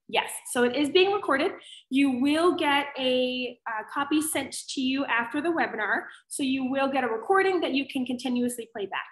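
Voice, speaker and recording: A woman from Pittsburgh hosting a live teaching webinar, her voice hoarse and raspy from allergies, talking in an upbeat, chatty way. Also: sits high, a bit bright, echoey room, rough recording. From Pittsburgh, USA. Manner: upbeat, chatty, often says "awesome". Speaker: webinar host and educator.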